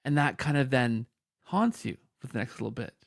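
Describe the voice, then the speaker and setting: A male podcast host with a creaky, fairly high-for-chat but unforced voice talks casually in a dry, close recording. He circles around his points with enthusiasm, repeating phrases as he goes. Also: lively intonation, normal speed, no echo. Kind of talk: casual conversational interviewing.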